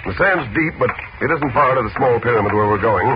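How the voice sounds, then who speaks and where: A calm, gently amused male magician, played by a man in a 1940s radio drama, steady and reassuring. His pitch moves a lot. The broadcast sound is dull and buried in loud hiss. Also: fast talker, close to an everyday voice. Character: calm, assured adult male magician and guardian.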